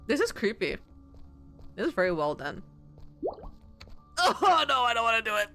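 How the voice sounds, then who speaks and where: Animated high-pitched woman gaming out loud. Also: normal speed, faint music behind. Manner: expressive, sweary, reacting out loud. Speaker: video game streamer. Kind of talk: horror game playthrough commentary, reading game text aloud.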